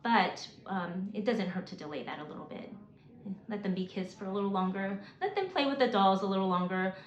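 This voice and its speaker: A woman in her forties, raised in Mississippi, working through a prepared list of reasons in an earnest, measured way with occasional restarts. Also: mid-range voice, lively intonation. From South Korea, raised in Mississippi. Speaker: homeschooling mom. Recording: roomy, slightly dull, faint hiss.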